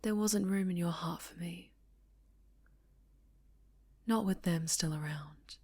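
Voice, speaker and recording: Woman acting a slow, breathy, possessive dark superheroine. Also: fairly low pitch. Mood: possessive, soothing. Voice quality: soft, breathy, often whispered. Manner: slow, sweetly menacing, coaxing. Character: sinister possessive female superhero villain, obsessively doting, menacing. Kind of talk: ASMR roleplay acting.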